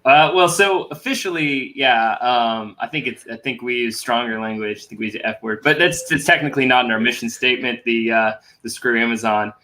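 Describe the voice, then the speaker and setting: A man's voice: medium pitch, a little fast, hardly any pauses, sharp tone. A man who runs a publishing company, answering thoughtfully on a panel. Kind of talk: casual panel chat.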